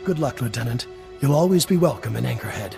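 Earnest, creaky-voiced town mayor. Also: male voice, mid-range voice, animated, quick pace, faint music behind. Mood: grateful. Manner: hesitant, warm, effusive. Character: friendly, earnest middle-aged male small-town mayor. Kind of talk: video game voice acting.

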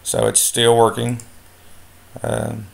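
Man, instructor, gravelly, relaxed, filler-heavy explaining. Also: medium pitch, takes it slowly. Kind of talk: screen-recorded software tutorial. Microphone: voice-over on a screen recording.

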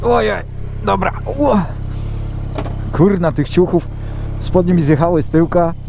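A man's voice: sits high, measured pace, creaky. A man chatting informally and ramblingly as a motovlogger while riding a motorcycle, with some mild swearing. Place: outdoors, on a motorcycle on the road. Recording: loud wind and engine noise.

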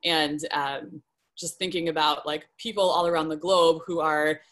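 A woman's voice: sits low, steady tone, moderate pace, a bit bright. A young woman speaking casually on a video call panel from home, chatty and full of fillers. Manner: chatty, many ums and likes. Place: home room, indoors. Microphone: laptop webcam video call.

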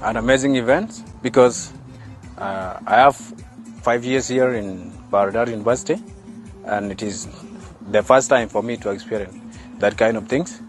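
A young student leader from South Sudan speaks outdoors on a campus in a mid-range voice. He is heartfelt and talks with frequent "uh" pauses. Light music runs underneath. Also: mid-range voice. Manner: warm, heartfelt, uses fillers. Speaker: student union deputy chair. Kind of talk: interview answers.

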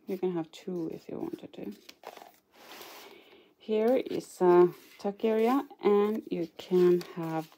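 Slow, mellow Norwegian woman showing crafts. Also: medium pitch, slight background noise. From Norway. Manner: chatty, hesitant, apologetic, giggles now and then. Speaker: craft channel host. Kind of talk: casual show-and-tell of a scrapbook album. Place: indoors at a craft table.

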